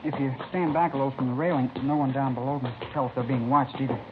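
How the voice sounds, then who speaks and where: An actor playing an eager, polite teenage student leader in an old radio drama, casual and respectful, on a tinny, noisy recording. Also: male voice, medium pitch, steady tone, fast, close to an everyday voice. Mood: eager, chatty. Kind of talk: 1950s radio drama acting.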